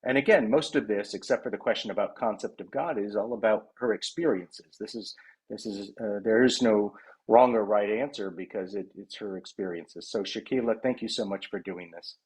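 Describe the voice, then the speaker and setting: A man with a low, husky voice hosts a virtual session and interviews a guest. He speaks haltingly, with frequent ums and restarts, over a video call microphone. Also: darkish tone, poor audio. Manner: halting, many fillers and restarts. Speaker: interviewer and project founder.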